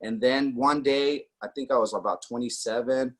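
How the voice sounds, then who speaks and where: A man's voice: mid-range voice, fairly even intonation, normal speed, a bit bright. A man in his forties from Austria, telling his personal story in a casual way, with many fillers and acted quotes. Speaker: network marketing guest.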